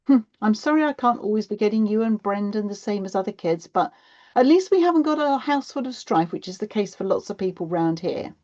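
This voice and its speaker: Audiobook role: tired, worried single mum, played by a woman narrator, blunt and firm, mellow tone, slightly muffled sound. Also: medium pitch, animated, fairly quick, pitched above the performer's own voice, natural voice. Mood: hurt, defensive. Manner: sighing, blunt, matter-of-fact. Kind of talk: acted children's audiobook dialogue.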